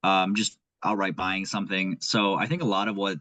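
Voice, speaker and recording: Young Nepali-accented man, low dry voice. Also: moderate pace, no echo. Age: young adult. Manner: earnest, animated, thinks aloud. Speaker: guest, aspiring investor. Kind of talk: casual conversation.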